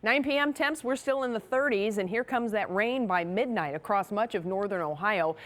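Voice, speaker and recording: A woman's voice: medium pitch, normal speed. An American woman giving a conversational TV weather forecast in a chatty, folksy manner.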